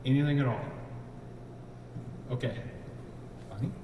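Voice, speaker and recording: A man's voice: mid-range voice, a little slow, mellow, a little hiss or hum. A man who chairs membership, giving an enthusiastic presentation full of earnest restarts. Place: large event room. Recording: echoey hall from far off.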